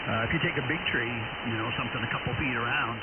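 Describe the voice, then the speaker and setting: A man from Maine chatting casually over shortwave radio, explaining things in a folksy, unhurried way. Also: medium pitch. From Maine, United States. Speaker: amateur radio operator, caller. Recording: hissy, noisy narrowband ham radio transmission.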